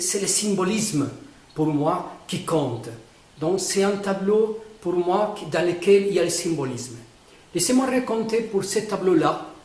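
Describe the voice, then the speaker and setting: A man, a painter who is not a native French speaker, explaining his own painting in a halting way with many fillers and restarts. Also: high-pitched, echoey room. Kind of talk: informal spoken explanation.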